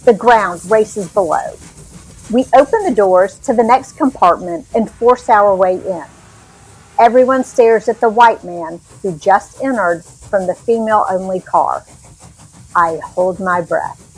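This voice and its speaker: A woman reading a story aloud as an expressive, animated narrator. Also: mid-range voice, a little slow, slightly rough, low-quality recording. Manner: animated storytelling with acted dialogue.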